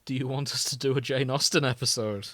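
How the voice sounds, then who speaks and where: A British man hosts a relaxed podcast chat in a mid-pitched voice. He talks in a chatty, self-deprecating way, and the recording is dry with no room sound. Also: fast, no echo. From Britain. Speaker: podcast host.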